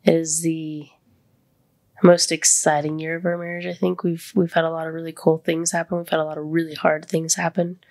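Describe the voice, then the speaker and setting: Congested woman with a gravelly voice. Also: low voice, flat delivery, fairly quick, a little mellow. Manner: open and vulnerable, near tears. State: congested, voice deeper than usual. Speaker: podcast host. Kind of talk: casual heartfelt chat.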